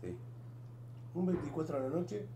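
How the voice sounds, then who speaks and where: A man's voice: fairly high pitch, very animated, normal speed, mellow, slight background noise. A man with an Argentine Rioplatense accent telling rowdy, swearing stories among friends. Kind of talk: casual storytelling. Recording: echoey room with music.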